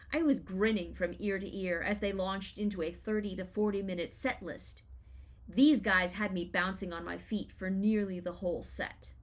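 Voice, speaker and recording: A woman in her thirties with an American accent, reading a scripted first-person essay in an expressive, wry storytelling manner. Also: mid-range voice. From Los Angeles, United States. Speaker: writer reading their own personal essay.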